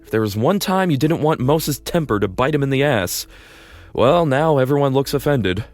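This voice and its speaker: A man narrates a fan audio drama in the second person, casual and wry, slipping in dry asides. His voice sits at a medium pitch, with soft music playing faintly underneath. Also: animated, moderate pace.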